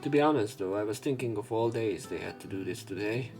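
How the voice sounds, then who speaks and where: A man's voice: on the low side, lively intonation, fairly quick, over music. A man hosting a let's play, reading a visual novel's narration and dialogue aloud.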